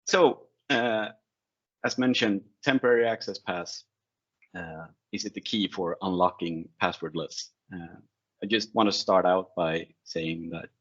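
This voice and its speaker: Deep-voiced non-native English-speaking man, dull audio. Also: a little slow, a bit bright. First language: not English. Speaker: presenter, IT security consultant. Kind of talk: informal technical presentation with slides. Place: online video call screen share. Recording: dull, lo-fi online meeting audio.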